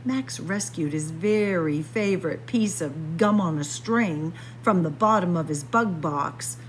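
A woman reading a picture book aloud to young children in a playful, expressive story time manner. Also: fairly low pitch, unhurried, a little hiss or hum. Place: indoors, library with bookshelves.

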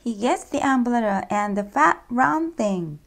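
A woman reading a picture book aloud to children, gentle and clear in her storytelling. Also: on the high side, animated, slow pace. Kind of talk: bilingual storybook read-aloud. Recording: faint music behind.

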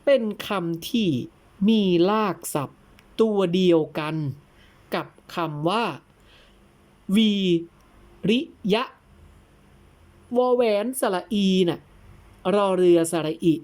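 A woman voicing a patient, probing male teacher in an audio tale, who explains things in a deliberate, didactic way. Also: medium pitch, animated, a little mellow. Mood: emphatic, instructive. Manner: deliberate, didactic, breaks words into syllables. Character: patient, probing old-fashioned male teacher instructing his pupil.

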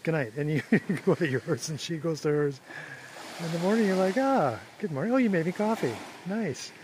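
A man's voice: fairly high pitch, animated, normal speed, noisy background. A man casually narrating a travel vlog, wry and chatty, chuckling to himself. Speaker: travel vlogger.

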